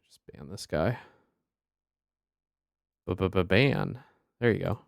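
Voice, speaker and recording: A male streamer gives relaxed, joking commentary as he plays, swearing now and then and repeating the game's lines. His voice is very deep. The audio has a clear hiss behind it. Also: slow pace. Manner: laid-back, joking, swears, echoes game lines. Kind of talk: casual gaming commentary.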